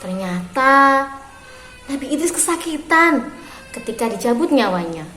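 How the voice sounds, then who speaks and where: A woman reading a story aloud for young children, phrasing expressively and deliberately with pauses. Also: high-pitched, sing-song, pushed and bright, faint music behind. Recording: roomy echo with clear background noise.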